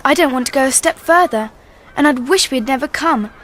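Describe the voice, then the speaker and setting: A woman's voice: high-pitched, a little fast, a bit bright, slight background noise. A woman playing a sensible, cautious schoolgirl older sister with a British accent in a radio drama. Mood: anxious.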